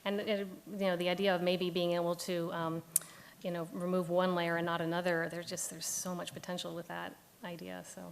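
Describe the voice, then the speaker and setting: A woman's voice: fairly low pitch, little pitch movement, brisk, gravelly. A woman presenter answering questions informally and off the cuff, in a tentative, hedging way. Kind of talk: informal, unscripted Q&A. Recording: faint hiss and background noise.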